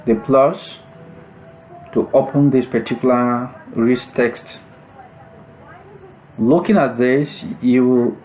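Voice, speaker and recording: Male instructor, slow pace, soft mellow tone, short separated phrases, step-by-step screen tutorial narration. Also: medium pitch, poor audio.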